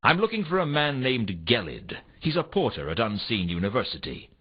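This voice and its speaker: An actor playing a world-weary, sardonic private detective in a noir video game, with a wry, deadpan, pressing delivery. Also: male voice, medium pitch, a little fast, husky. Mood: urgent, insistent. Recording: dull, muffled old console game audio.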